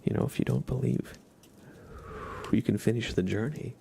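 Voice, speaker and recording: A man hosting an ASMR livestream, talking softly and intimately to his chat and often slipping into whispers, close to the microphone. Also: mid-range voice, creaky, husky. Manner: gentle, drawn-out delivery slipping into whispers.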